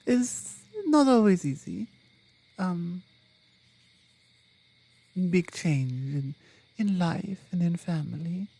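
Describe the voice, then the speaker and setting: A woman voicing a loving, tender mama bear in an ASMR roleplay, speaking softly and slightly breathily, with halting, foreign-sounding phrasing and an affectionate manner. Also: lowish voice, animated, heavily acted. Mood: teasing, affectionate. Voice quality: soft, hushed, slightly breathy. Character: loving, tender female mama bear, devoted mate and mother. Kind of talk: ASMR roleplay, intimate scripted monologue.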